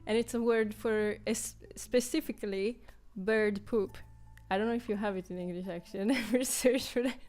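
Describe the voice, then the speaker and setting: A woman's voice: mid-range voice, steady tone, moderate pace, a little mellow. A woman from Italy, a Venetian speaker, co-hosting a casual stream and chatting freely. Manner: chatty, inviting others to share. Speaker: co-host. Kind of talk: casual chat.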